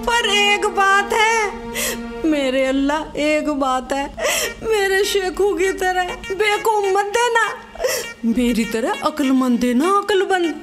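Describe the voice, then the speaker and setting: A woman playing a theatrical, sharp-tongued middle-aged mother in a broad comedy skit, lamenting and scolding. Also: very high-pitched, expressive, a little slow, soft background music, full character voice. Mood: tearful pleading.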